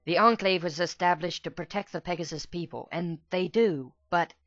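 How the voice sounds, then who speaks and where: A man voicing an earnest, soft-spoken young female pegasus pony with a hesitant manner for a storybook. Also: high-pitched, normal speed, no room sound, dull audio, pitched above the performer's own voice. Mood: regretful.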